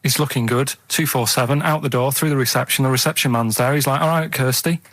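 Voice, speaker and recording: A man with an English accent and a middling pitch talks casually as a radio co-host. His delivery is colloquial and meandering, circling back over his own phrases in a studio setting. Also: fairly even intonation, quick pace, a bit bright, no room sound. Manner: rambling, repetitive, colloquial delivery. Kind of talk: casual rambling storytelling and banter. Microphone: studio microphone.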